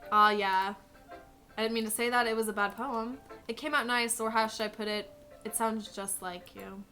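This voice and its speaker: A woman's voice: on the high side, fairly even intonation, normal speed, pushed and bright, faint music behind. A woman voicing an easygoing teenage boy in a visual novel game, reading in her normal voice.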